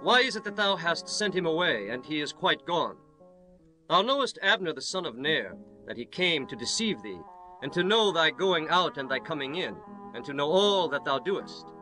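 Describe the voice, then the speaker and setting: A man's voice: fairly high pitch, very animated, normal speed, sharp tone, faint music behind, rough recording. A man playing an angry, suspicious biblical warrior, declaiming accusingly and emphatically in dramatized scripture. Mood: accusing, indignant. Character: Joab, angry, suspicious biblical warrior confronting his king. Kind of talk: dramatized scripture, radio-play acting.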